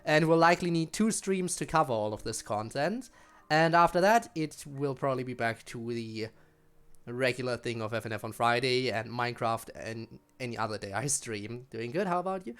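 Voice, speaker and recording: A woman's voice: extremely low pitch, animated, normal speed, clear, resonant tone. A woman streaming a game, talking casually and informally to viewers as they watch along. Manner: chatty, informal, addressing viewers. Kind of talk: casual livestream commentary, reading game dialogue aloud. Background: game music.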